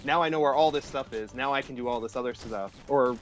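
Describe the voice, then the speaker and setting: Mid-pitched male, informal and sweary casual gaming talk, music in the background. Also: fast. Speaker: programmer guest on a stream. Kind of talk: casual tech and gaming chat. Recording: music playing behind the talk.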